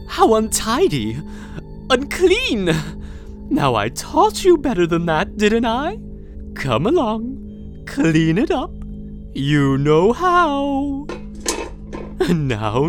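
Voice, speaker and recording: A woman playing a sweet-talking but unstable female captor in an audio drama, using syrupy baby talk that breaks into shrill screaming fits. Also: darkish tone. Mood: scolding.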